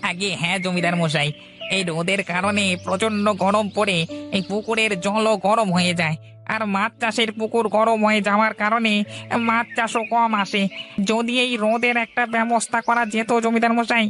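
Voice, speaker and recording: Man playing a bright, flat-toned servile steward. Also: sits high, normal speed, soft background music. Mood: deferential. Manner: deferential.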